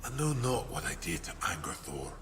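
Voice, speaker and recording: An actor playing a tormented ghostly sea captain, proud and remorseful, in a solemn, breathy, dramatic lament. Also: male voice, low-pitched, very animated, brisk, over music. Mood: determined, bitter.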